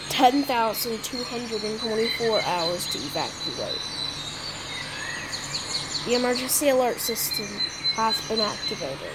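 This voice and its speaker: A woman improvising a mock emergency broadcast announcement in a halting way, often stumbling and repeating words. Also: on the high side. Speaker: announcer of a fictional emergency alert. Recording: noisy homemade recording, birdsong behind.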